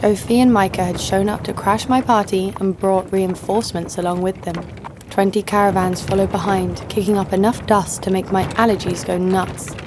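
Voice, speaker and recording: A woman playing a jaded, sardonic killer who narrates her own story in a deadpan way for an audio drama. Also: mid-range voice, moderate pace, background noise, lightly acted. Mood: cold, sardonic. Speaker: narrator and lead actor. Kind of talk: first-person scripted narration.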